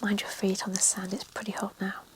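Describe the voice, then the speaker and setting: A woman's voice: medium pitch, little pitch movement, a little fast, natural voice, poor audio. A woman whispering the lines of a polite, charming Frenchman in a story reading. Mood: courteous.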